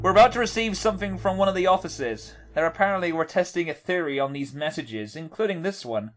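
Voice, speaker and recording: Man playing a lively, higher-voiced investigator. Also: quick pace, soft background music. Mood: businesslike. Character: chatty, quippy male investigator. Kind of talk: fan audio drama acting.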